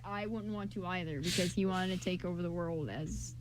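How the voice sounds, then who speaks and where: A woman's voice: lowish voice, a little fast. A woman co-hosting an amateur radio show in casual, informal, rambling chat. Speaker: radio show co-host and writer. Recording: amateur recording with background noise.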